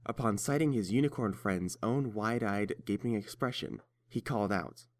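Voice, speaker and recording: Low-pitched male story narrator. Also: normal speed.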